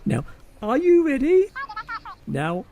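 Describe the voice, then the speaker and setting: Camp, fussy shop salesman, played by a woman, high and unhurried, wildly swooping, arch radio comedy, noisy recording. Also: wildly swooping pitch, gravelly, mellow, heavily acted. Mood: fussy, flirty. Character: camp, fussy menswear salesman, flirty and chatty. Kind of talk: amateur radio comedy acting.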